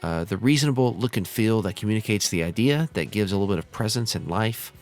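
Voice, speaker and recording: An American man with a slightly hoarse, stuffed-up voice from a cold, giving easygoing, casual tutorial commentary as a channel host. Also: on the low side, animated, fast talker, a little mellow, faint music behind. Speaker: host of a model railroad simulator channel.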